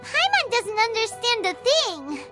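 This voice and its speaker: A tiny fairy sidekick from a video game, extremely high and squeaky like a small child. The delivery is animated and exclamatory, eager to help and easily excited, with clearly audible music behind it. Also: female voice, a little slow, exaggerated voice. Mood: curious, chirpy. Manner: refers to self in third person, exclamatory. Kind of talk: video game character acting. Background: background music.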